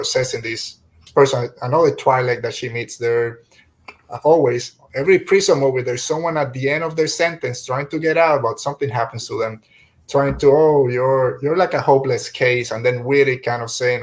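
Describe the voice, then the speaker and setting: A man hosting a casual podcast review discussion, feeling his way through halting, rephrased questions to his guests. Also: mid-range voice, low-quality recording. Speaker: podcast host.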